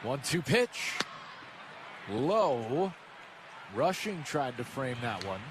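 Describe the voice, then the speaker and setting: A man's voice: mid-range voice, big pitch swings, drawn-out words. An American man calling live baseball play-by-play for radio. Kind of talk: live sports commentary. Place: stadium broadcast booth. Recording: clear stadium ambience noise behind. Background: ballpark ambience.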